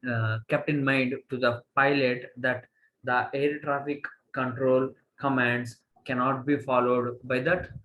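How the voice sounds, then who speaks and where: A man with an Indian accent giving a college lecture, halting as he goes and repeating phrases for emphasis. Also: mid-range voice, flat delivery, fairly bright tone, slight background noise, poor audio. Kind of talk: slide lecture, reading and explaining.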